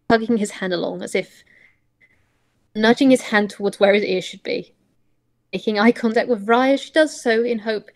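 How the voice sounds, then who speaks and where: A woman narrating roleplay prose as a player, reading dramatically in halting phrases. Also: medium pitch.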